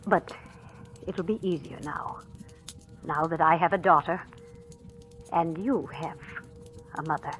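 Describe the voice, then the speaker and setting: An actress playing a kindly, gently insistent middle-aged mother in a 1940s radio drama, speaking with genteel tenderness. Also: low voice, slow pace, pauses often. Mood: tender, earnest. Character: kindly, gently insistent mother welcoming a bereaved daughter-in-law. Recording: vintage radio broadcast, faint music.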